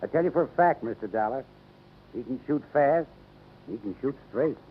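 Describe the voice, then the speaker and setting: A man's voice: medium pitch, lively intonation, normal speed, close to an everyday voice. A man acting as a wary, grave rancher in a 1950s radio drama, plainspoken and cautioning, with an American accent. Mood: grave warning. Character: wary, grave male rancher giving warnings. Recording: tinny, faintly noisy vintage radio.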